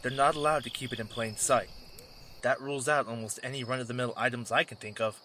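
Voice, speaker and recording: A man's voice: mid-range voice, fairly even intonation, quick pace, bright, forceful tone, a little hiss or hum, close to an everyday voice. A man playing a cocky wolf drifter, a former warrior, in an audio drama, with a sarcastic, casual delivery. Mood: curious.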